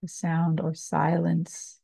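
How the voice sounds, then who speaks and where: A woman meditation teacher guiding a body scan, speaking calmly and soothingly in short phrases with long pauses. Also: low voice, creaky, breathy edge, dry recording. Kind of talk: slow guided meditation, body scan narration.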